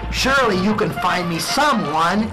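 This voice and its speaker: Man acting as an exasperated high-pitched police chief. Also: expressive, moderate pace, poor audio. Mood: insistent. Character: exasperated small-town police chief pleading for action. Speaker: amateur radio drama actor. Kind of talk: spoof radio drama acting. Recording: music bed under the voice.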